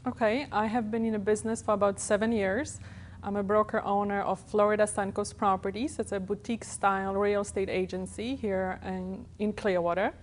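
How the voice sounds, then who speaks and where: Woman, 30s, Polish accent, native Polish speaker, mid-pitched voice, real estate broker interviewed as a guest. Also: fairly even intonation, a little fast, a little hiss or hum. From Poland. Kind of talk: interview answers. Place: TV studio.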